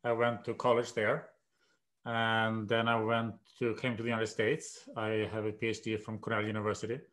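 Older Swedish-accented man, measured. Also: fairly low pitch, flat delivery, fairly bright tone. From Sweden. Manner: measured, hesitant with many "uh"s. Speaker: guest professor. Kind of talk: conversational interview. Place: home room. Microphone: video call.

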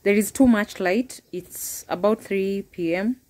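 A woman's voice: medium pitch, a little slow, darkish tone. A young woman, chatting casually to viewers as she tidies her bedroom, recorded on a phone. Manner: chatty, talks viewers through her tidying with small restarts. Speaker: vlogger. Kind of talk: casual home vlog narration. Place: bedroom at home.

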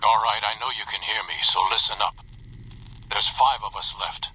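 A man's voice: on the low side, normal speed, pushed and bright, a little hiss or hum, rough recording. An actor playing a weary, defiant male survivor in a video game audio log, grim and strained, on a radio-like recording. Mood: grim defiance.

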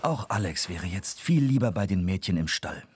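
A man's voice: low voice, expressive, normal speed, slight background noise. A man narrating a scripted children's audio story.

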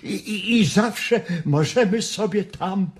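An older man acting a stubborn, aging ranch hand in a radio drama, stammering and halting as he speaks. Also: high voice, slightly rough, exaggerated voice. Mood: indignant. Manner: stammering, hesitant, halting speech. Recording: noisy radio play recording.

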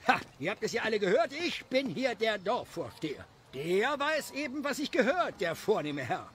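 A man's voice: high-pitched, sing-song, moderate pace, a bit bright, a little hiss or hum. A man acting a self-important, simple-minded village priest in an audio drama, boastful and bossy.

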